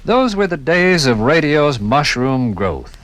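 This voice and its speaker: An American man narrating a scripted 1950s radio documentary in dramatic, rhetorical phrases that build deliberately. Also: fairly high pitch, sing-song, heavy background noise. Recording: vintage 1950s radio program recording.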